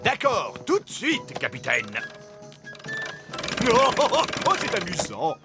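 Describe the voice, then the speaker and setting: A man voicing a jolly, pompous fairy king in a cartoon, with drawn-out exclamations. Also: high voice, wildly swooping pitch, music in the background, exaggerated voice. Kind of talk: animated cartoon dialogue in French.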